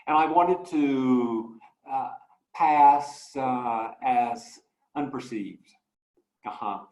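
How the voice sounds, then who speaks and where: Halting, reflective man in an echoey room. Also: medium pitch, a little mellow, slight background noise. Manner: halting, many fillers and restarts. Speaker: guest artist. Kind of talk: presenting artwork in a talk.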